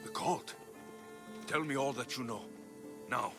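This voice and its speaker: A man's voice: mid-range voice, unhurried, natural voice. Blunt young mercenary hero, a man acting, over music. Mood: demanding. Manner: terse, impatient, dry. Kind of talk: video game cutscene acting. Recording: music under the voice.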